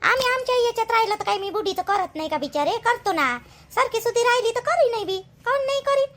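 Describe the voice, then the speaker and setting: Super high voiced village wife done by a woman, cartoon gossip, vidarbha marathi accent, complaining and repeating herself. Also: lively intonation, fast, fairly bright tone. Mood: indignant. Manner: chatty, complaining, emphatic with repeated phrases. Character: aggrieved, talkative village wife and daughter-in-law. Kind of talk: colloquial cartoon gossip dialogue.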